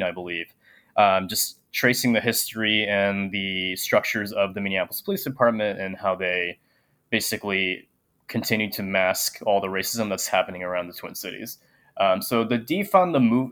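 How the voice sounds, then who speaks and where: A young man and teacher, a guest, talking in a soft, filler-heavy way over a remote call. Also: on the low side. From Japan. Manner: soft-spoken with many filler ums. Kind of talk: casual interview conversation.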